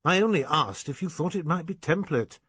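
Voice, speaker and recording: A man voicing a flippant, cheerful young gentleman in an audiobook reading. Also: on the high side, expressive, normal speed, slightly rough, no room sound, voice pushed up. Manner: light, dry, mocking.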